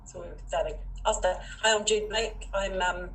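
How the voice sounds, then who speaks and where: A woman's voice: medium pitch, steady tone, normal speed, a bit bright, slight background noise. A woman teacher giving a short, plain introduction. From New Zealand. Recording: distant mic.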